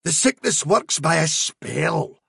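A man's voice: on the high side, big pitch swings, slow pace, vocal fry, pushed and bright, husky, no echo, studio quality, heavily acted. A man voicing a cunning male trickster rabbit posing as a fairy, in a storybook reading, delivering sly, solemn, dramatic warnings. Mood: mock despair.

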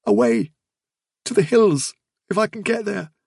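A little fey rabbit performed by a man, high and slightly husky. He talks quietly, solemn and resigned, like a sad storybook seer. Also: a little slow, no room sound, clean studio sound, exaggerated voice. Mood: sad resolve. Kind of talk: storybook character dialogue.

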